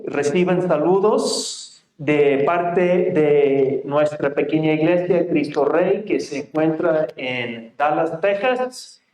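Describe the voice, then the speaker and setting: Mexican pastor guy preaching, slow and deliberate, voice bouncing around a roomy church. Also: fairly high pitch, darkish tone. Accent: Mexican Spanish. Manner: reads scripture aloud in a raised, projecting voice; plain, friendly asides to the congregation. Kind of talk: sermon. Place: church sanctuary. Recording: echoey church room.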